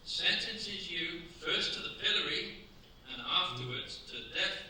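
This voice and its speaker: A man's voice: a little high, animated, a little slow, bright, forceful tone, full character voice. A man playing a stern, commanding official in a staged radio play, declaiming formally. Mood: stern, solemn. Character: stern, commanding French judge and prison governor. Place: theatre stage before a mic. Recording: very echoey hall, distant mic, noise.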